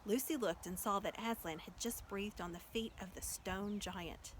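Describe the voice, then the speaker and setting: A woman reading a children's story aloud as an expressive storyteller. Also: medium pitch, normal speed, a little hiss or hum, low-quality recording.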